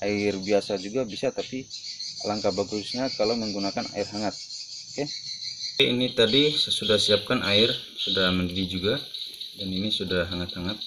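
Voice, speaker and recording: A man presenting an informal demonstration vlog, explaining in a halting way. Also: fairly low pitch, steady tone. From North Buton, Southeast Sulawesi, Indonesia. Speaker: herbal remedy video presenter. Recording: noisy outdoor audio with insects and birds.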